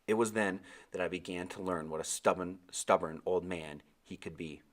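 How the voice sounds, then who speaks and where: A man with a fairly low voice reads a storybook aloud. He delivers it as scripted narration, in the role of the narrator. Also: fairly even intonation, moderate pace.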